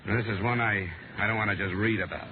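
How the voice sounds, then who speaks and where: Wry, clipped male investigator played by an actor, rapid delivery, vintage radio drama, narrow noisy audio. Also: fairly low pitch, fairly even intonation, lightly acted. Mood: calm, determined. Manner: brisk, clipped, sardonic. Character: wry, hard-boiled male insurance investigator and detective. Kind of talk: 1950s radio detective acting and first-person narration. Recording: noisy, tinny old radio broadcast recording.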